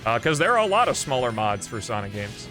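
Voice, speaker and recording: A man's voice: mid-range voice, sing-song, brisk, pushed and bright. A man doing casual live video game commentary, thinking aloud in a rambling, offhand way as he plays. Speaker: video game streamer and host. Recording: game music behind the voice. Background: video game music.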